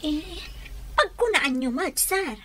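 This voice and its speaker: An actress with an Ilocano Filipino accent playing a respectful, questioning household helper in a radio drama. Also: female voice, high-pitched, expressive, slow pace, pushed and bright, full character voice. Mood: deferential.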